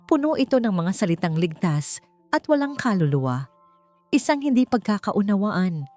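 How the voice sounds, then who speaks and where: A woman narrating a dramatic love story audiobook in a measured storytelling manner. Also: mid-range voice, no room sound. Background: soft background music.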